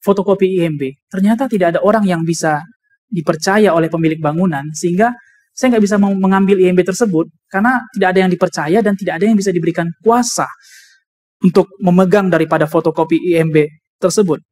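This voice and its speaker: A high-voiced man with an Indonesian accent delivers a sermon. He explains his points deliberately, as a preacher teaching a congregation, and restates key phrases to drive them home. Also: darkish tone. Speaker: preacher, also a doctor. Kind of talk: sermon with personal anecdotes and scripture reading.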